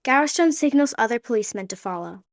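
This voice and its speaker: A woman's voice: a little high, expressive, fast talker, vocal fry. A woman narrating a radio play in a vivid, dramatic scripted style.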